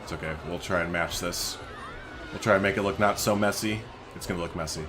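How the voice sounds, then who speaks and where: A man's voice: low voice, steady tone, brisk, a crowd in the background, background noise. A man giving casual, chatty gameplay commentary as a let's play host, thinking aloud informally as he goes.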